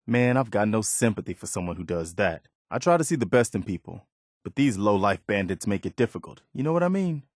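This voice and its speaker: An actor playing an earnest, weary, principled militia soldier as a video game companion, speaking in a sincere, plain-spoken, conversational way. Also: male voice, lowish voice, big pitch swings, brisk, dry recording, clean studio sound.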